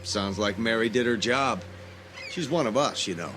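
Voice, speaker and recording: An actor in an audio drama playing an idealistic, defensive researcher on the run. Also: male voice, lowish voice, normal speed, natural voice. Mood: guarded, defensive. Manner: earnest, defensive. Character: defensive, idealistic fugitive male lab researcher. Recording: music under the voice.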